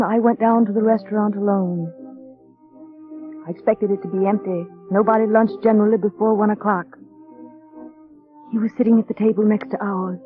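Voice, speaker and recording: A woman's voice: medium pitch, lightly acted. An actress playing a shy, earnest, naive young woman of nineteen in a 1940s radio drama, speaking in a hesitant, polite, wistful way. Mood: reflective. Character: shy, earnest, naive nineteen-year-old paid companion. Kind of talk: 1940s radio acting and narration. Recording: dull vintage radio recording, music behind.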